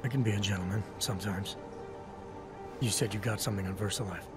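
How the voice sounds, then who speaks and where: An actor playing a calm, firm male agent questioning a suspect in a video game cutscene. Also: on the low side, fast talker, natural voice. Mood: steady. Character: calm male augmented agent, stern interrogator. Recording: game cutscene with music behind.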